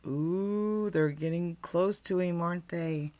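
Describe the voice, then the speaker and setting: A woman's voice: lowish voice, slow, darkish tone. A woman reading a children's storybook aloud as storyteller, expressively, with asides to young listeners.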